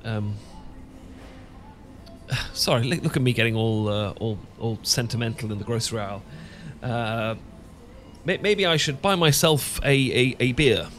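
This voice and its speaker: A man's voice: mid-range voice, a little slow, fairly bright tone, a little hiss or hum, lightly acted. A man with a British accent playing an awkward, sentimental English professor in a romantic ASMR roleplay, stammering and hesitant. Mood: wistful, then bashful. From Britain. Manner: stammering, hesitant, full of false starts. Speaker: audio roleplay performer.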